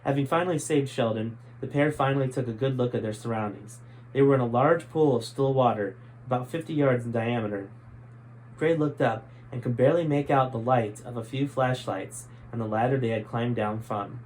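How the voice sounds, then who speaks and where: A man's voice: medium pitch, a little fast, darkish tone, slight background noise, low-quality recording. A man reading his own book aloud as scripted story narration.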